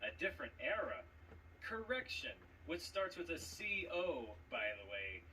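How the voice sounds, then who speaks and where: A man throws out cocky trash talk in a bright, forceful voice that swings widely in pitch. His delivery is theatrical and pointed, picked up a little far from the mic. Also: very animated, a little slow. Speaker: trivia champion competitor. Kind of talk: trash-talk promo speech. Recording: distant mic with background noise.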